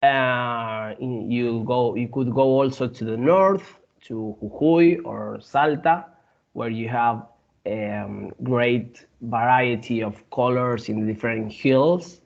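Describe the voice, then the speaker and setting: A man from Argentina with an Argentine Spanish accent, a guest in a casual interview who speaks haltingly, with many fillers and restarts. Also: medium pitch, vocal fry, dull audio.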